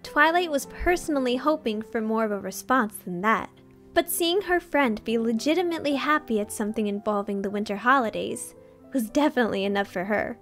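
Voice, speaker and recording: High-voiced woman narrating a story. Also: expressive, a little fast, fairly bright tone, soft background music. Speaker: fan fiction narrator.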